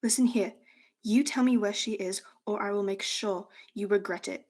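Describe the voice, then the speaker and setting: A teenage actress playing a menacing, demanding bandit in a student fantasy radio drama. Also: female voice, medium pitch, normal speed, a little mellow, close to an everyday voice. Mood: threatening. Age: teens. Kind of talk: student radio theatre acting.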